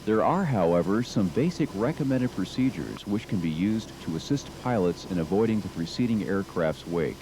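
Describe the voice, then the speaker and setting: Deep-voiced American male documentary narrator. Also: expressive, slight background noise, poor audio. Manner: measured, formal, instructional. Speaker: narrator of a training film. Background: music under the narration.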